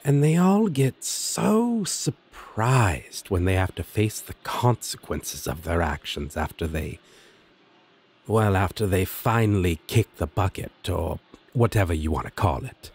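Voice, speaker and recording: Guy acting as chill flirty demon roommate for an audio roleplay, low husky voice, slow and teasing. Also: lively intonation, a little mellow, exaggerated voice. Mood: musing, relaxed. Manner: teasing, casual, drawn-out delivery with dramatic swoops. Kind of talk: scripted audio roleplay addressed to listener.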